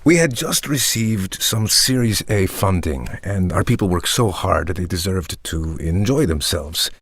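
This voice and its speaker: An actor playing a rich, self-assured tech entrepreneur in an audio play, explaining himself in a measured, earnest way. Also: male voice, low-pitched, expressive, slightly rough, dry recording, studio quality, full character voice. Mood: smooth, self-justifying. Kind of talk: storytelling monologue in an audio play.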